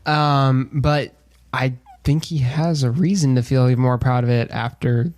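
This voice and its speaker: A man's voice: medium pitch, steady tone, measured pace, a little mellow. A man co-hosting a podcast and chatting casually about films. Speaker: podcast co-host.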